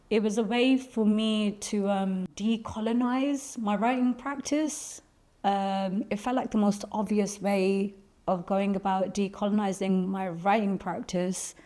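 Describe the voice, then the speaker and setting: Woman, poet in interview, warm mellow tone, reflective, hesitant restarts. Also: medium pitch. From Birmingham, England. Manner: thoughtful, searching for words with frequent ums and restarts.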